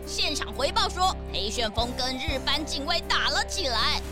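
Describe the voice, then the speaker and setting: A woman's voice: sits high, animated, moderate pace, over music. A woman with a Taiwanese Mandarin accent voicing a frank, helpful young detective's assistant in a children's radio play. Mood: urgent. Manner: questioning, straightforward.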